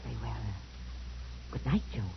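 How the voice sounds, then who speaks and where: An actress playing a fearful woman who gives hushed, urgent, ominous warnings in an old-time radio thriller. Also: rumbling low, very animated, moderate pace, gravelly, a little hiss or hum, full character voice. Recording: muffled old radio recording.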